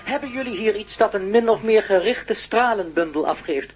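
An actor in a Dutch radio play voicing a polite, calm alien from an ancient race, speaking formally and matter-of-factly. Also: medium pitch, over loud music, lightly acted. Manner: formal, measured, matter-of-fact. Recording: noisy radio play recording.

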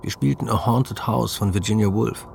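A young male interviewee, recalls things matter-of-factly. His voice is lowish and creaky, with faint noise behind it in a documentary dub. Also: normal speed, a little mellow. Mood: plain, recollecting. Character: voice-over translator of a young man's recollections.

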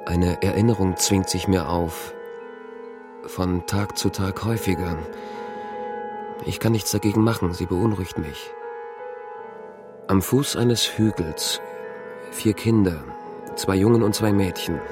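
A man's voice: sits low, a little slow, long pauses. An actor playing a haunted, brooding man who recalls intrusive memories in fragmentary monologue for a radio drama. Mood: uneasy, brooding. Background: music.

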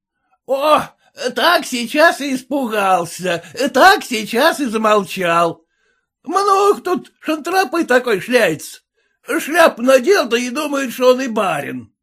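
A man voicing a drunk red-faced peasant who shouts rude abuse at his passengers. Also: very high voice, very animated, measured pace, pitched above the performer's own voice, exaggerated voice. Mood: drunken abuse.

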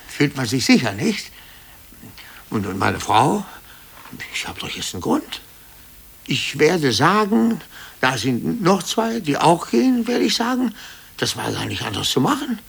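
An actor playing a needy, pushy middle-aged office employee in a halting, insistent way, in a 1950s German radio drama. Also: male voice, medium pitch, vocal fry, breathy edge, full character voice. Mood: anxious, hopeful. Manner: halting, insistent, seeking agreement with tag questions. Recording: 1950s radio play on hissy cassette.

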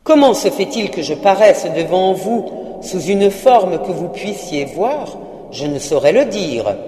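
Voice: mid-range voice, very animated, a little slow, mellow, roomy sound, long reverb tail. A narrator voicing the ghost of an old male miser, chained and remorseful, declaiming anguished laments. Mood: solemn. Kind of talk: audiobook character reading.

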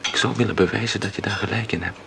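A man's voice: on the low side, steady tone, fast talker, vocal fry, noisy background. An actor playing a remorseful young male artist in a radio drama, speaking earnestly and a little hesitantly. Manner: earnest, hesitant, then eager.

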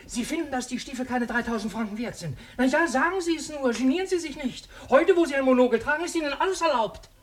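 A man's voice: extremely high pitch, animated, fast talker, hardly any pauses. A man playing a cranky, eccentric old junk dealer in a radio play, fussy and agitated, often repeating himself. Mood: indignant, bitter. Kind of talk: German radio play acting.

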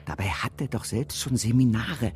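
An actor playing a jealous, sardonic, petty and grumbling PR operative in a German radio drama, sarcastic and given to trailing off. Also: male voice, mid-range voice, expressive, moderate pace, breathy edge. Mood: exasperated. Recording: music behind the voice. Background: music.

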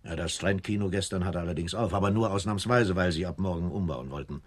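An actor playing a wry, seasoned police captain with a dry manner in a 1970s German radio crime drama. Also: male voice, low voice, fast talker. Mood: matter-of-fact.

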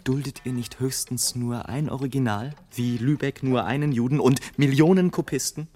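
An actor playing a scornful airship traveller in a radio play, delivering a sardonic, declamatory monologue as a bitter satirist of mankind. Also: male voice, mid-range voice, moderate pace, dark tone, natural voice. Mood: indignant, haranguing. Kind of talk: literary radio play monologue, late 1980s. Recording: music behind the voice. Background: music.

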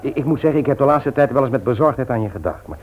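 A man's voice: medium pitch, animated, rapid-fire, close to an everyday voice. Actor playing an earnest man, tinny old recording. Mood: encouraging. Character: Willem, earnest frank friend, husband and doting father. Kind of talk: Dutch radio play acting. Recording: tinny, noisy old radio play recording.

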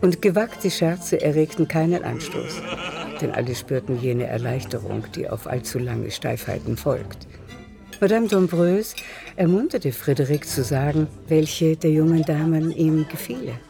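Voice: medium pitch, expressive, measured pace, creaky, over music. A performer as a composed literary narrator, reading scripted narration in a radio drama. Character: composed literary narrator of a nineteenth-century novel.

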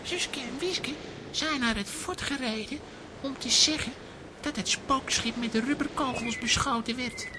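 High-voiced stubborn young boy. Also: measured pace, background noise. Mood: sulky, stubborn. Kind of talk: children's audio drama acting.

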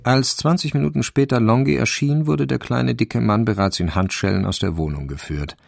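A man narrating a literary crime story for a radio drama in a creaky voice. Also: mid-range voice, normal speed, dry recording, clean studio sound.

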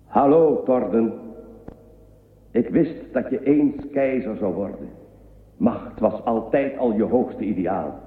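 An actor playing an ancient, solemn guardian of the past, a being of light, telling things slowly and grandly in a science-fiction audio drama. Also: male voice, on the low side. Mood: fond, knowing. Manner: slow, grand storytelling. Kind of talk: science-fiction radio play acting. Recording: long cavernous reverb, narrow muffled band. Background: loud music.

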